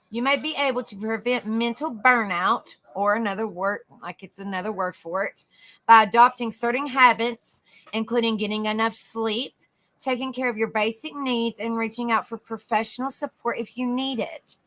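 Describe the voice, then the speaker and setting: A woman hosting a casual livestream, chatting softly with viewers by name and reading notes aloud. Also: a little high, fairly even intonation, moderate pace, low-quality recording. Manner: soft, easygoing, chats with viewers by name. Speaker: livestream host.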